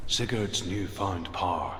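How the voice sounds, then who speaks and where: A man playing a solemn storyteller of Norse legends in a game, with a grave, measured, scripted delivery. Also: sits low. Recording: echoey long reverb, noisy ambience.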